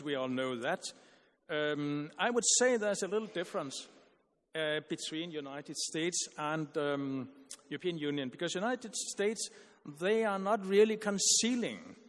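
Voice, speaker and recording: A man giving an earnest, argumentative conference panel speech, halting with frequent restarts and repeated words. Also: on the high side, in a large hall.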